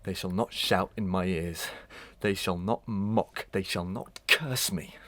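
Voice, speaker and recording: A man's voice: low-pitched, unhurried, a bit bright. A man playing a proud, bitter condemned murderer in a Victorian melodrama, brooding and theatrical in archaic diction. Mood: brooding, defiant.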